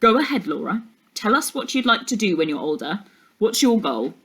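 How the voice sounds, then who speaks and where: A woman's voice: medium pitch, very animated, normal speed, fairly bright tone, husky, full character voice. Stern, pompous male teacher for a storybook, exasperated and emphatic played by a woman. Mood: curt.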